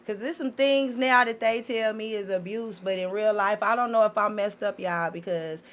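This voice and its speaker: A woman's voice: medium pitch, normal speed. An American woman talking candidly and at length in a casual, opinionated group discussion, swearing freely. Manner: talkative, candid, profane. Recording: faint background noise.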